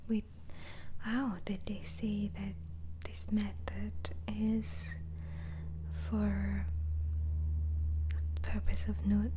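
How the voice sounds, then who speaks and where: A woman whispering in an ASMR roleplay as a doctor interviewing a patient, hesitantly asking questions and repeating answers back in a breathy voice. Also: mid-range voice, mellow, a little hiss or hum. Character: gentle, inquisitive female mental asylum doctor.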